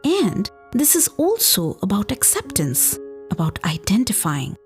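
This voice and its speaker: A woman podcast host telling folktales, expressive and deliberate, drawing out words for emphasis. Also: mid-range voice, soft background music. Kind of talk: expressive folktale storytelling narration.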